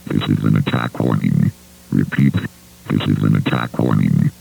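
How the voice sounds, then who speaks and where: A man's voice: extremely low pitch, moderate pace, gravelly, over loud music, poor audio. A man reading a scripted emergency alert as a formal, impersonal announcer.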